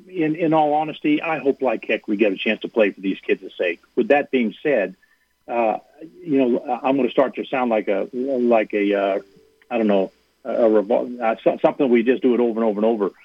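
Gravelly guest speaking over a phone line. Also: male voice, medium pitch, normal speed, dry recording. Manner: earnest, repeats words when starting sentences. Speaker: university athletic director, guest. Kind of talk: radio call-in interview.

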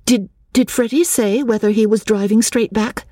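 Woman acting a high, excitable young Englishwoman. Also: moderate pace, no echo, clean studio sound, lightly acted. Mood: alert, anxious. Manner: breathless, gushing, stammering repetitions. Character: excitable, desperate young Englishwoman longing to escape abroad. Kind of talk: audiobook character dialogue.

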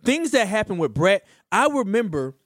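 A man's voice: high-pitched, big pitch swings, normal speed, dry recording, studio quality. A man co-hosting a sports radio show, talking casually and giving firm opinions. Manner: emphatic, opinionated. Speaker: radio co-host.

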